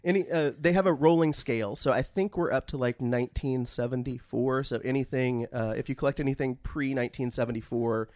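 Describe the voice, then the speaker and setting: Male podcast co-host, mid-pitched, frank and profane, casual anecdote teller. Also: a little fast, few pauses, a little mellow, no echo, studio quality. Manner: candid, unashamed, profane. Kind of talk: casual comic group chat, telling anecdotes.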